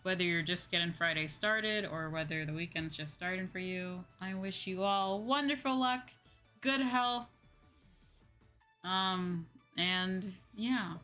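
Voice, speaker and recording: A woman's voice: lowish voice, takes it slowly, bright, forceful tone, soft background music. A slightly sleepy woman hosting a livestream, chatting casually and informally with her viewers. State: a little sleepy. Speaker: livestream host, VTuber.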